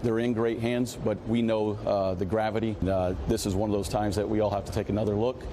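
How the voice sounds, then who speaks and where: An American man answering interview questions as a union official, earnest and deliberate. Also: lowish voice, little pitch movement. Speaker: union president being interviewed. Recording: clear outdoor city noise behind.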